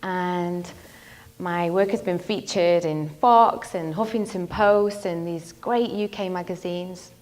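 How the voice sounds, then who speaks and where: A woman's voice: on the low side, slow pace, a little mellow. A woman relationship coach giving a motivational talk to an audience, telling a personal story and asking the crowd questions. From not from the local area; lives in London.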